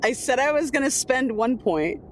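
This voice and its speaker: A woman's voice: high voice, lively intonation, moderate pace, a little hiss or hum. A woman playing a tabletop game, chatting and narrating casually with a dry, playful manner.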